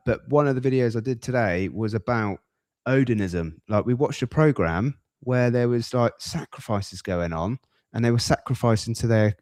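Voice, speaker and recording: A man's voice: medium pitch, a little mellow, no echo, studio quality. A man hosting a podcast in a casual, rambling way, often breaking off into asides. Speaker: podcast host. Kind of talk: casual reaction chat.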